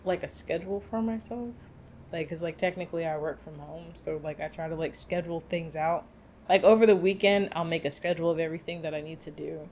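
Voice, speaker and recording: Young woman podcaster, chatty, says like a lot, a bit low voice, faint hum behind. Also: a little mellow. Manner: chatty, many "like" fillers. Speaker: podcast co-host. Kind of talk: casual chat.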